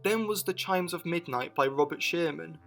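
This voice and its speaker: A man with a fairly high voice narrates a scripted retrospective. He mixes summary with personal opinion, and soft music plays behind him. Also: normal speed, vocal fry. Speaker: video essay narrator. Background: music bed.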